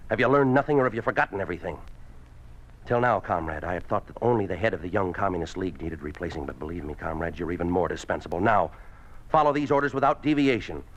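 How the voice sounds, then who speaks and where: An actor playing a ruthless, domineering communist party boss in a 1950s radio drama, speaking in a curt, commanding way. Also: male voice, sits low, lively intonation, fast. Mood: harsh, commanding. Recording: muffled old 1950s radio recording.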